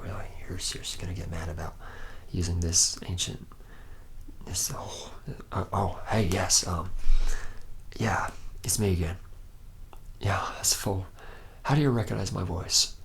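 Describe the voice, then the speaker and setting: A man acts out a boozy, womanizing young robot-factory mechanic in an ASMR roleplay. His voice is very low with a heavy creak, drifting through cocky, teasing bro slang. There is clear background noise and ambience around him. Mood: casual, bargaining. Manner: rambling, slangy bro talk, boastful and teasing.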